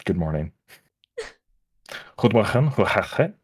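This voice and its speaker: Very deep-voiced, dry-humored woman guest. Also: very animated, darkish tone, husky, dry recording. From family from the Netherlands. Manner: dry, self-deprecating joker, halting phrases. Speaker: guest on a stream. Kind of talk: casual live-stream chat.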